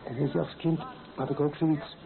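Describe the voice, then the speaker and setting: A man's voice: a little high, little pitch movement, normal speed, creaky, narrow, tinny audio. An actor playing a young husband and expectant father in a radio play, affectionate and eager, talking in an intimate, conversational way. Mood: affectionate, reminiscing. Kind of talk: naturalistic radio play dialogue. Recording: thin old radio recording, music behind.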